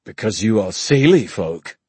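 Haughty drunken fairy king, acted by a male narrator, scornful and raised in pitch, for a fantasy audiobook. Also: sing-song, measured pace, vocal fry, a bit bright, slightly rough, dry recording, exaggerated voice. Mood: sneering. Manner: scornful, snapping.

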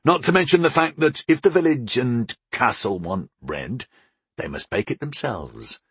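A man voicing a portly, proud older baker father in an audiobook, gruff and resolute, explaining things without hurry. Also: mid-range voice, animated, a bit bright, no echo, heavily acted. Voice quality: gruff, grumbling. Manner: unhurried, explaining, homespun wisdom. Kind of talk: audiobook character dialogue.

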